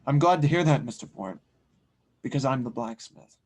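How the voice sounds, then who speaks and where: A man playing a wise, serene blacksmith mystic in an audio drama, speaking softly in slow, cryptic phrases. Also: medium pitch, lively intonation, lightly acted. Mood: calm, knowing. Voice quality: soft, hushed. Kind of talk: fan audio drama table read.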